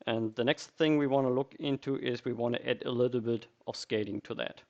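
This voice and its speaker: A man presents a screen tutorial in a medium-pitched voice. He explains each step methodically, as an instructor guiding someone through a piece of software. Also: fairly even intonation, brisk, muffled recording.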